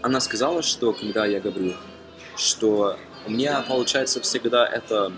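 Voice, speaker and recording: Young guy, low voice, stops and restarts a lot, music playing behind him. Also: low-quality recording. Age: young adult. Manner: halting, frequent fillers and self-corrections, non-native speaker. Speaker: language-learning vlogger. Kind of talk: casual talk to camera. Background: background music.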